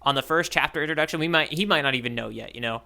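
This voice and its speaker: Man with a bright, conversational voice. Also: fairly high pitch, racing, vocal fry. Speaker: podcast co-host. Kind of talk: casual conversational discussion.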